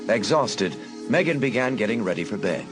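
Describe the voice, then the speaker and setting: A man narrating an audiobook drama in a measured, suspenseful way. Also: fairly low pitch, very animated. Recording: narration over a music bed.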